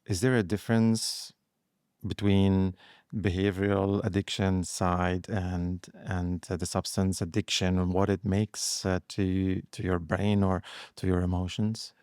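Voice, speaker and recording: Low-voiced male interviewer, dry and close. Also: flat delivery, measured pace, darkish tone, clean tone, dry recording. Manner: attentive, frequent murmured acknowledgments. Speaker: podcast host. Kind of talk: interview questions.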